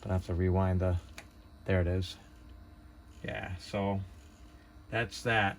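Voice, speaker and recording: Low-voiced man giving a casual demo. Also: fairly even intonation, slow, vocal fry, slight background noise. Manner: hesitant, unpolished, thinking aloud. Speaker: hobbyist demonstrator. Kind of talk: casual hands-on tutorial narration.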